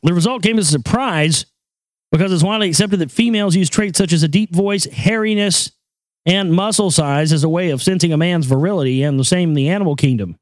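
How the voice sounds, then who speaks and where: A man with a high voice hosting a casual talk show, joking crudely and rambling over news items. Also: brisk, no echo, rough recording. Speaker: show host.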